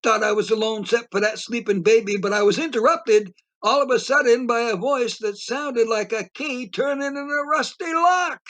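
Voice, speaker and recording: A man playing a rambling, easily spooked beachgoer who tells his tale in dramatic, drawn-out audio play narration. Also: very high-pitched, fairly bright tone. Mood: chatty, puzzled. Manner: theatrical, drawn-out emphasis.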